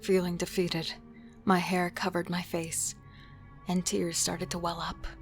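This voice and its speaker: A woman's voice: fairly low pitch, level tone, unhurried, soft background music. A woman playing an intense, defiant serial killer devoted to her partner, acting and narrating in a horror audio drama. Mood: anguished.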